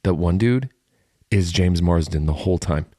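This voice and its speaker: A man's voice: low voice, normal speed, warm tone, breathy edge, dry recording, studio quality. A man co-hosting a podcast with casual comedic banter, playful and given to repeating phrases. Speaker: podcast co-host.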